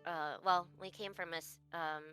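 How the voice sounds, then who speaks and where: Hesitant bright-voiced woman roleplaying. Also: on the low side, fairly even intonation, unhurried, soft background music. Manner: hesitant, many fillers and restarts. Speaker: tabletop game player. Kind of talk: in-character roleplay.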